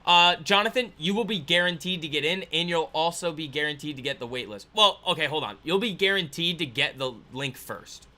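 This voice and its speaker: Man in his twenties, bright voice, casual rambling livestream chat, reads comments. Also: on the high side, fairly quick, hardly any pauses. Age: 20s. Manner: rambling, laughing, talks to viewers as "guys". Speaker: trading livestream host.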